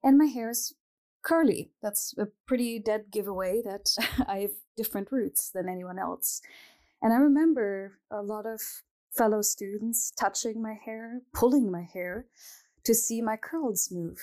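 A woman's voice: medium pitch, mellow. Thoughtful woman telling her own story. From Germany. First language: Arabic. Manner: thoughtful, pauses and restarts, light laughs. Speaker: interviewee. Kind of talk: reflective personal storytelling.

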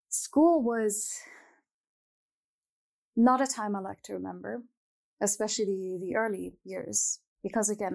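A reflective young woman from Germany, Arabic her mother tongue, telling a personal story in a soft, unhurried way. She pauses to find her words and keeps the tone calm and sincere. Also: mid-range voice. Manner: thoughtful, soft-spoken, with pauses and small laughs. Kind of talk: reflective personal testimony to camera.

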